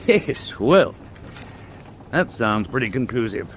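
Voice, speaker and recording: Educated southern English Admiralty official, radio drama: articulate, mid-pitched, expressive intonation, considered phrasing. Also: male voice, slow pace, slight background noise. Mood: reading aloud. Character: well-informed English Admiralty gentleman, calm and measured.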